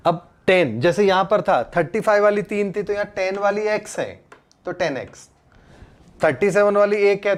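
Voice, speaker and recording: A man with an Indian accent and a slightly high voice teaches a live online class. He speaks informally as a teacher, slipping English terms into his explanations and repeatedly checking that students understand. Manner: mixes English terms into Hindi. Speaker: chemistry teacher.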